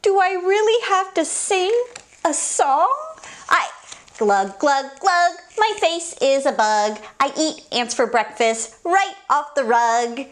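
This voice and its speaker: A woman's voice: high-pitched, animated, slow pace. A woman hosting children's story time, reading a picture book aloud with playful, exaggerated, theatrical reactions. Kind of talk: animated picture book read-aloud for kids.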